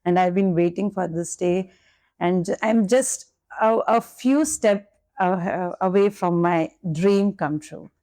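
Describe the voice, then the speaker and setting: A woman's voice: fairly low pitch, slow, warm tone, no echo, studio quality. A young woman with an Indian English accent, a nursing candidate answering podcast questions candidly and slipping into Hindi now and then.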